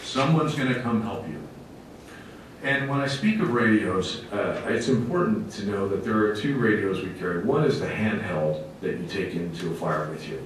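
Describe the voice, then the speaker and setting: A man with a fairly low voice gives a public presentation as a fire officer. His delivery is emphatic and plainspoken, with a folksy turn. He is recorded at a distance in a very echoey meeting room. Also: normal speed. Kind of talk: public presentation to a council committee. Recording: very echoey room, distant mic, faint rumbling noise.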